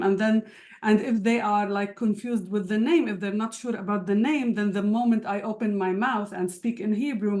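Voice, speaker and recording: A woman lecturer whose first language is Arabic, speaking on a virtual panel, thoughtful, with many pauses and restarts. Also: mid-range voice, a little mellow. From Palestinian citizen of Israel. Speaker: university lecturer. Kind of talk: panel discussion.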